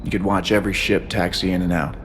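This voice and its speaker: Man acting a calm low-voiced shuttle pilot. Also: fast, slight background noise, close to an everyday voice. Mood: wistful. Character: calm dedicated male shuttle pilot, quietly wistful. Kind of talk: video game dialogue acting.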